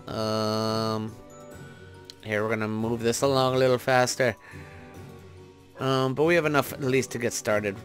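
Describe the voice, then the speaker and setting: A man's voice: medium pitch, fairly bright tone. Man casually narrating gameplay over music. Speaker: gaming video host. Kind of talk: casual gameplay commentary, thinking aloud.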